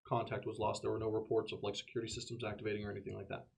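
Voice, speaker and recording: A man's voice: fairly low pitch, completely flat delivery, rapid-fire, gravelly, darkish tone. A man playing a tabletop game, asking probing, matter-of-fact questions in a conversational style.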